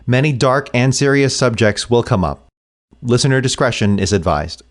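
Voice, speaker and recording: A man's voice: mid-range voice, expressive, moderate pace. A man speaking in a comedic podcast skit, in an offhand way.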